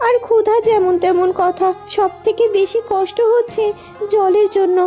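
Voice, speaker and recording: A woman's voice: very high-pitched, fairly even intonation, moderate pace, dark tone, soft background music. A woman voicing a weary, determined young woman in a fairy-tale cartoon, earnest and pleading. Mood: weary, worried.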